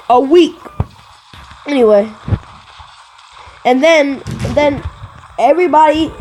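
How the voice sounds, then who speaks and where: A woman with a high-pitched voice tells casual stories as a video creator. Her delivery is animated and digressive, doubling back to correct herself. There is some background noise with small clicks behind her. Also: poor audio. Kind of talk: casual rambling storytelling to viewers.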